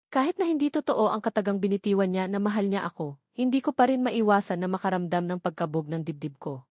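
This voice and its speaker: A woman's voice: mid-range voice, expressive, fast, a little mellow, no echo, clean studio sound. A woman with a Filipino accent narrating a first-person romance novel from a script. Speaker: audiobook narrator.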